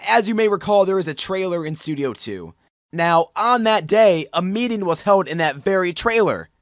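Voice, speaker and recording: Casting: male actor as young defense attorney for a game fandub, high voice, earnest, declamatory, music underneath. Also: lively intonation, normal speed, no echo, clean studio sound. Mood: pointed, confident. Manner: declamatory courtroom argument. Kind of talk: amateur anime-game fandub acting.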